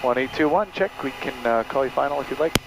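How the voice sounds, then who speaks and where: A man's voice: medium pitch, lively intonation, quick pace, vocal fry. A man working as an airline first officer, giving clipped, procedural but easygoing checklist and radio calls over an aviation headset. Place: small turboprop cockpit in flight. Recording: noisy aircraft intercom feed with engine drone.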